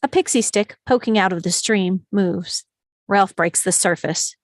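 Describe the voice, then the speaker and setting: A woman's voice: mid-range voice, measured pace, dry recording. Script narrator: woman, mid-range voice, reading stage directions, very dry recording.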